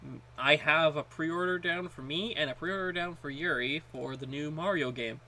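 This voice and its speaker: A man co-hosting a podcast, chatting casually and haltingly, often repeating words as he goes. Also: fairly high pitch, bright, forceful tone. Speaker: podcast co-host. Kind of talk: casual chat about gaming.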